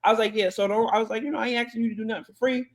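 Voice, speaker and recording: A woman co-hosting a podcast, telling stories in an animated way and acting out both sides of conversations. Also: fairly high pitch, racing. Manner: animated, acts out conversations in quotes. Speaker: podcast co-host. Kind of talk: casual storytelling chat.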